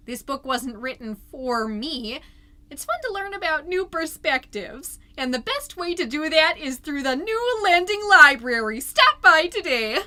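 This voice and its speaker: Perky, defensive busybody mom, played by a woman for comedy podcast monologues; rambling delivery, sharp bright tone. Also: high voice. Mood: perky, promotional. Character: chatty, petty small-town mom and community busybody. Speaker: fictional podcast host and narrator.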